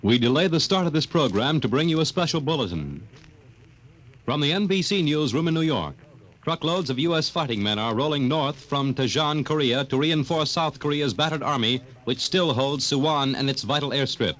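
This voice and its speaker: A man's voice: a little high, animated, normal speed, fairly bright tone. A man reading a 1950s radio news bulletin in a formal, urgent manner. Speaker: newsreader.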